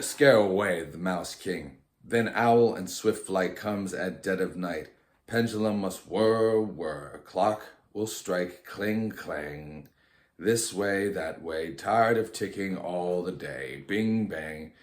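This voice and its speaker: Storybook old godfather male reader, odd, droll, teasing, low-pitched, echoey room. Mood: chanting, strange. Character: eccentric, teasing old godfather, a watchmaker counselor.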